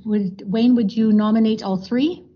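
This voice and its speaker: Woman as board chair, husky mellow voice, dull lo-fi recording, procedural. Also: a little high, steady tone, a little slow. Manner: procedural, many fillers and thank-yous. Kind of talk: chairing a formal board meeting.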